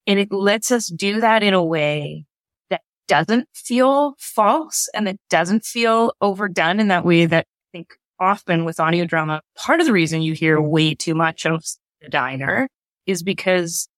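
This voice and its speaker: A woman explains things casually as a guest in an interview. Her pitch is a little low for a woman. The recording is dry, with hardly any room sound. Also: normal speed, no echo. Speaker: audio drama co-creator, interview guest.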